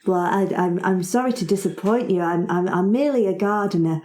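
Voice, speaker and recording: A woman's voice: mid-range voice, fairly quick, darkish tone, echoey room, natural voice. A woman voicing a female dwarf gardener who is flustered, polite and eager to help, rambling and stammering in a tabletop game. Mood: apologetic.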